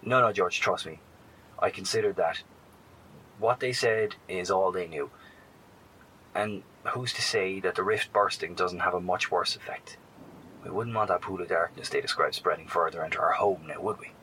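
A faint rumbling noise sits under a very deep, crisp-edged male voice. It is a man acting a careful, kind wasteland survivor in a horror audio drama, measured and a little hesitant as he reports in over the radio. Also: pushed and bright, poor audio. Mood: reassuring. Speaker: actor.

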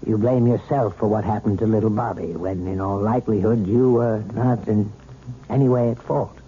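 An actor playing a calm male psychiatrist who speaks in a measured, soothing way, in a 1970s radio drama. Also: on the low side, close to an everyday voice. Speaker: doctor. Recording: tinny 1970s radio broadcast with hiss.

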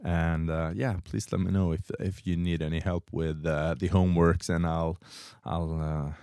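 A man's voice: low-pitched, little pitch movement, moderate pace, dark tone, clear, resonant tone, dry recording, clean studio sound. A man with a Swedish accent hosting a casual interview, asking easygoing questions padded with fillers. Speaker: podcast host.

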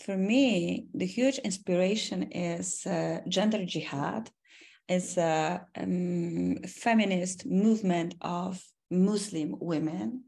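A woman in her forties from Poland, an academic answering interview questions in a measured way, with frequent restarts and fillers. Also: fairly low pitch, creaky, warm tone. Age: 40s. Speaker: academic and foundation president.

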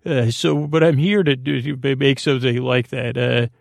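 A man hosting a sleep podcast, rambling in a gently silly, soothing way, halting and circling back on his words with a gravelly creak. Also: medium pitch, no room sound, clean studio sound. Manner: meandering, halting, trailing off mid-word and circling back. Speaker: podcast host. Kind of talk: rambling bedtime-story monologue to help listeners fall asleep.

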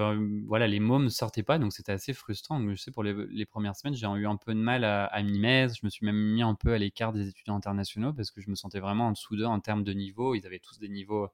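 A young man from France chatting casually about his student exchange, hesitating often with fillers and restarts. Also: on the low side. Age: young adult. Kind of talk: casual podcast conversation.

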